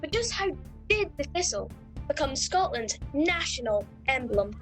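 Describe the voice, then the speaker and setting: A woman's voice: sits high, big pitch swings, measured pace, a bit bright, soft background music, heavily acted. A woman playing an eager, dramatic news correspondent announcing in a scripted radio comedy.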